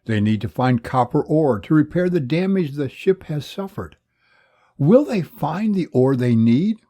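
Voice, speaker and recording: A man's voice: a little high, lively intonation, unhurried, warm tone. A man narrating an adventure serial in a suspenseful, theatrical manner. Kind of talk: dramatic serial story narration.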